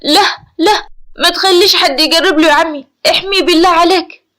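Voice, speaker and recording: A woman's voice: extremely high pitch, fairly even intonation, a little fast, dry recording, clean studio sound, pitched above the performer's own voice. A woman voicing an anxious young woman in an audio story, tearful and pleading, with an Egyptian accent. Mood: tearful, afraid.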